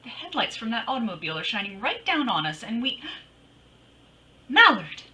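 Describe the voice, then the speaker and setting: Female radio-drama detective woman acting: witty, teasing, very lively high voice, recorded at a distance. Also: normal speed, slight background noise. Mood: alarmed. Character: witty, feisty woman private detective narrating her case.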